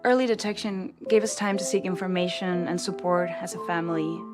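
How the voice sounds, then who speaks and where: A woman plays a concerned grown daughter in a health awareness ad. Her voice is fairly low and soft, in testimonial style, over clearly audible piano. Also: little pitch movement, fairly quick, close to an everyday voice. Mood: gentle, earnest.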